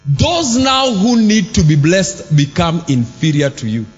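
A man preaches in a long-reverb hall, with soft music underneath. His voice sits high and swings widely in pitch. He talks slowly and shouts at the peaks. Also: big pitch swings, lo-fi sound. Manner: emphatic, shouting at peaks and dropping low for asides. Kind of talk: sermon.

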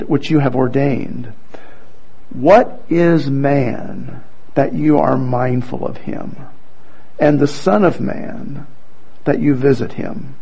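A man preaching a sermon and reading scripture aloud, earnest and halting, with frequent restarts. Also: fairly high pitch, vocal fry, dark tone, dull audio. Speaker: pastor.

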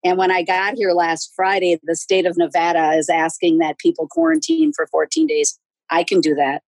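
Chatty woman in her sixties, husky. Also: fairly low pitch, expressive, normal speed, darkish tone, dry recording, poor audio. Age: 60s. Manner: chatty storyteller, laughs often. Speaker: podcast guest. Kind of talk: casual interview chat.

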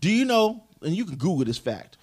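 A man's voice: high-pitched, fairly quick, husky. A man from Georgia, arguing emphatically in a casual group talk. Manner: animated, emphatic, does mocking impressions.

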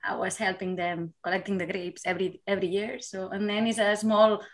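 Brief: candid woman, thirties, podcast guest, reflective, laughs easily, mid-pitched. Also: little pitch movement, fairly quick. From Spain. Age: 30s. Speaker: guest, university lecturer. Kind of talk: casual interview chat.

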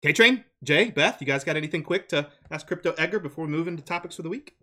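A man hosting a casual community podcast, upbeat and quick with his affirmations. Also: a little high, expressive, sharp tone. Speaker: podcast host. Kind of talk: casual chat.

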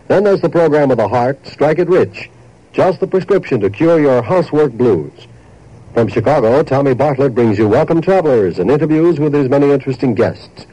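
A man's voice: medium pitch, warm tone. A man announcing network programs in a 1950s radio promotional style. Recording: muffled old radio broadcast.

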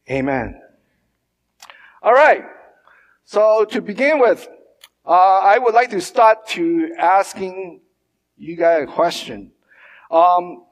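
Guy preaching in a church, kinda high voice, lots of uh and restarts, big hall echo. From Hong Kong. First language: Mandarin or Cantonese. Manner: halting, many fillers and restarts. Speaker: pastor. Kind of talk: sermon. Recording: long church hall reverb.

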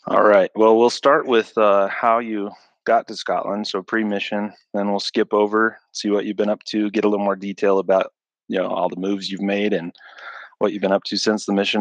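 Brief: male podcast host, low voice, dry close sound, friendly guiding manner. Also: no echo, highs cut off. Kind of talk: casual interview hosting.

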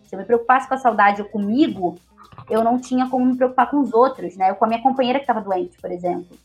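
High-voiced chatty Brazilian woman. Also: quick pace, soft background music. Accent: Brazilian Portuguese. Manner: chatty, leans on tag questions. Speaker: interview guest. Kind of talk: casual personal storytelling.

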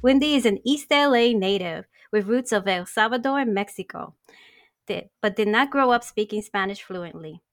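A woman who co-hosts a podcast, reading a scripted guest introduction in a smooth, upbeat way. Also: medium pitch, animated, moderate pace, no room sound. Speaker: podcast co-host.

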